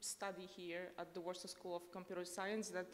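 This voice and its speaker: A woman moderating a panel discussion, speaking slowly and hesitantly with many fillers. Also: on the low side, level tone. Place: conference hall. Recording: long hall reverb.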